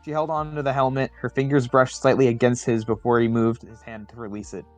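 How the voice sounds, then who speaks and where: A man's voice: medium pitch, flat delivery, brisk, no room sound, faint music behind. A man doing a casual amateur reading of a story, repeating and correcting misread words as he goes. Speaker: reader of fan fiction on a livestream.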